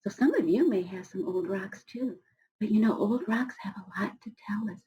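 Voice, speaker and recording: A woman, middle-aged, reading a storybook aloud to children with expressive, encouraging asides spoken directly to her young listeners. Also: medium pitch, moderate pace, low-quality recording. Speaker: storybook reader for schoolchildren.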